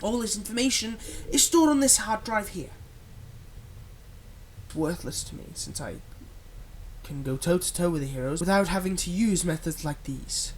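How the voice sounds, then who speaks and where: A man playing a manipulative, soothing male villain in an ASMR roleplay, speaking in a soft, coaxing and dramatic way with deliberate pauses. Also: high voice, expressive, slight background noise, rough recording. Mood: vengeful, intense. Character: manipulative, soothing male supervillain, tenderly possessive of his captive.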